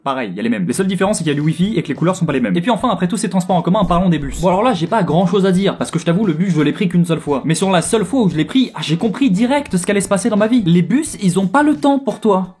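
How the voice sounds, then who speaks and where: A man's voice: high voice, animated, very fast, few pauses, a little mellow, slightly rough. A man in his twenties with an accent from France, hosting a casual, humorous video blog in a cheeky, slangy way, addressing viewers directly with teasing asides. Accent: French from France. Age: 20s. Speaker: host of a video blog about living abroad.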